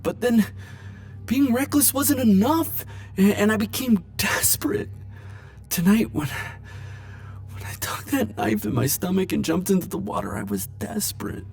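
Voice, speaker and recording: A man playing a lovesick, world-weary immortal in an intimate romantic audio roleplay, speaking haltingly and emotionally. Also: sits high, expressive, gravelly, exaggerated voice. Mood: desperate, pleading. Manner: halting, emotional, stammering confessions with soft wry asides.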